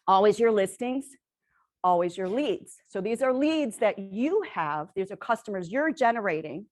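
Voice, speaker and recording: A woman presenting to a room of real estate agents, energetic and quizzing the audience, who says she is losing her voice. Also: mid-range voice, normal speed. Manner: energetic, asks the audience questions, tags lines with "right?". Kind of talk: sales presentation to a live audience.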